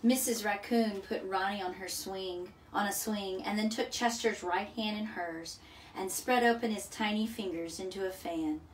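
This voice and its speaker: A woman's voice: mid-range voice, normal speed. A woman narrating a children's storybook as a story time reader. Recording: echoey room, distant mic, faint noise.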